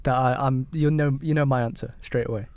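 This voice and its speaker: A man's voice: medium pitch, fairly even intonation, fairly quick, a little mellow, dry recording. A man hosting a casual football podcast, easygoing and a little hesitant as he moves the chat along. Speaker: podcast host.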